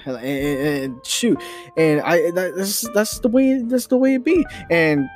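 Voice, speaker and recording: A young man hosting a podcast in a rambling, opinionated monologue, halting and repeating phrases with emphatic, mildly sweary delivery. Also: fairly high pitch, lively intonation, a little mellow. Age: young adult. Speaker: podcast host. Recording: guitar music behind the voice. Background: guitar music.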